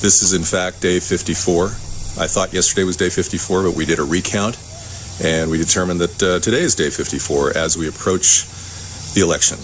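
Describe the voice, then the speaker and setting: A man's voice: sits low, brisk, a little hiss or hum, poor audio. A political candidate, a man, upbeat and showing off something outdoors in a campaign video.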